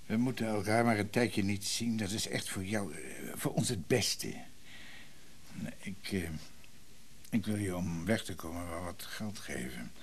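An actor playing a strained middle-aged brother in a radio play, halting and searching for words as he tries to keep the peace. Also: lowish voice, a little hiss or hum, lightly acted. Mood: uneasy, firm. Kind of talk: naturalistic Dutch radio play acting.

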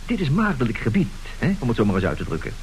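Een acteur die in een hoorspel een ijdele, sluwe huwelijkszwendelaar van middelbare leeftijd speelt, theatraal en hoogdravend. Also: male voice, medium pitch, wildly swooping pitch, racing, a little mellow, low-quality recording. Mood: self-important. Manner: theatrical, pompous, declaiming. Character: scheming middle-aged marriage swindler, vain, grandiose, smooth-talking. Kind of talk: Dutch radio play acting. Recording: hissy old radio play recording.

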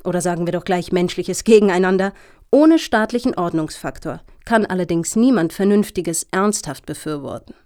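An actress playing an earnest, officious lobbyist in a satirical radio play, talking in formal, deliberate, speech-like sentences. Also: female voice, on the low side, expressive, warm tone, lightly acted. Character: earnest, officious female lobbyist pitching for funding.

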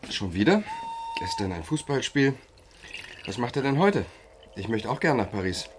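An actor in a German radio play as a reserved, precise foreign man on the run, speaking in a measured, formal way. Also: medium pitch, very animated, background noise, lightly acted. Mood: insistent. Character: reserved, precise foreign male fugitive among car thieves.

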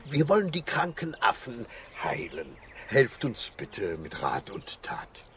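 Kindly, slow-spoken doctor. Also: male voice, mid-range voice, huge pitch swings, a little hiss or hum. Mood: earnest, pleading. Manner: earnest, reassuring. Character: kindly, noble doctor, calm leader of his animals. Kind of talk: children's radio play acting.

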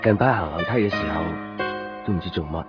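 A male actor plays an earnest young man with a fairly low voice and an introspective, brooding manner. It is radio drama dialogue and monologue, slightly dull in sound, with loud music underneath. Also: quick pace, lightly acted.

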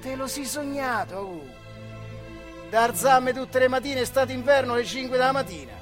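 Brief: male stage actor as weary, stubborn working-class older man, central Italian dialect, high-pitched, halting and intimate theatre delivery over prominent music. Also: lively intonation. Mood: agitated. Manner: colloquial, halting, addressing a comrade directly. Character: weary, stubborn working-class factory labourer talking with his old comrade.

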